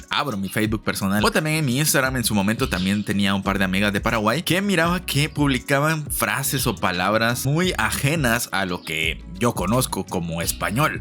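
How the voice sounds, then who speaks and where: A young host talks over a video in a low, easy voice, circling around his thoughts and correcting himself as he goes. Soft music plays faintly underneath. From Guatemala. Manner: chatty, musing, self-correcting. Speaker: reaction channel host. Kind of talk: casual reaction commentary.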